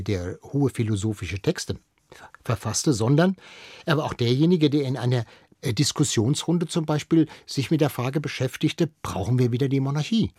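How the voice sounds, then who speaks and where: An older man with a slightly husky voice talks as a studio guest. He explains and reminisces conversationally, repeating words for emphasis. The sound is close and dry. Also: mid-range voice, darkish tone, no room sound. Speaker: studio guest, radio veteran and archive expert. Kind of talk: conversational reminiscing in a radio interview.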